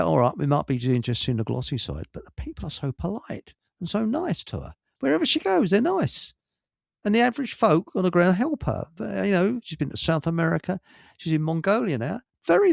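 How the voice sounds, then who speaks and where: A man with a lively, swooping delivery over a gravelly creak. He rambles casually as a radio host, blunt and grumbling, dropping mild British swear words. The sound is close and dry. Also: high voice, no echo. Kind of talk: rambling casual live chat.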